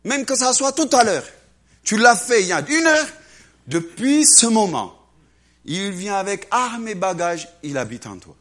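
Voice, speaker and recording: Animated high-voiced male preacher. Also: a little slow. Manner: acts out voices, swings between loud and hushed. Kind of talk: animated sermon.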